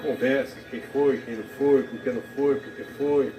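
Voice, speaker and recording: A male TV commentator reflecting on a live broadcast, talking haltingly with many restarts. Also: medium pitch, fairly even intonation. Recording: TV audio recorded off the air from a distance in an echoey room, noisy.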